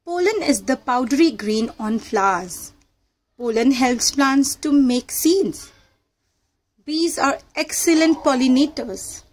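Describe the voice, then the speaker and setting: A woman's voice: high-pitched, slow. A woman narrating a children's read-aloud book in a teacherly manner, addressing young listeners directly.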